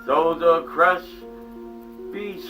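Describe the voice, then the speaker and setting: A man giving a theatrical, dramatic reading of a poem, dropping to hushed tones and sometimes stumbling and restarting. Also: fairly high pitch, extremely slow. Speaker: reader of a poem. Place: small home museum room. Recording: distant mic with eerie music behind.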